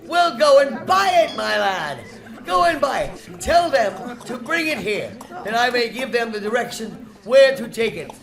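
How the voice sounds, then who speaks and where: A woman's voice: on the high side, lively intonation, a little slow, crowd noise. A woman playing a joyful, generous reformed old male miser, theatrically and exuberantly, in a live radio drama. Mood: giddy delight. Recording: live stage, music and audience behind.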